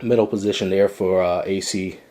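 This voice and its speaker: A man's voice: sits low, fairly even intonation, fairly quick, noisy background. A man giving a casual, step-by-step product demonstration in an explanatory way, recorded in a room indoors. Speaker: product reviewer.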